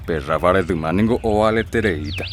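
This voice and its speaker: An actor playing a chatty father and football fan in a radio drama, talking in a relaxed conversational way. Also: low voice, unhurried, slight background noise. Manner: conversational, mixing Guarani and Spanish.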